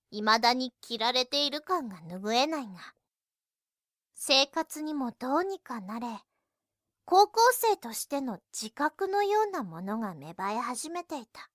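High-pitched, unhurried Japanese schoolgirl played by a woman. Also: sharp tone, no room sound, clean studio sound, heavily acted. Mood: reflective. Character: earnest, reserved first-year high school girl, narrating her story. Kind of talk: scripted narration in an anime-style voice drama.

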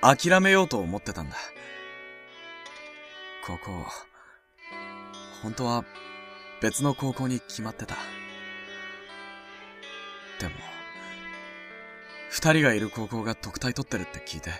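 A man playing an earnest, wistful high school boy in a youth romance voice drama. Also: big pitch swings, a little slow, pauses often. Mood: wistful confession. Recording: music behind.

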